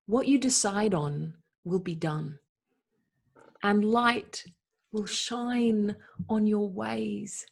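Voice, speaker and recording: A woman playing a solemn, pious counselor who exhorts with deliberate, emphatic phrasing in a dramatic scripture reading. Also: medium pitch, gravelly, full character voice. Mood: earnest exhortation.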